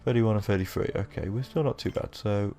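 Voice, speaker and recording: A young man with a sore, croaky throat, rambling casually through let's-play commentary with lots of fillers. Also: lowish voice, fairly quick, mellow, soft background music. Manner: rambling, self-deprecating, with many fillers. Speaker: gaming YouTuber.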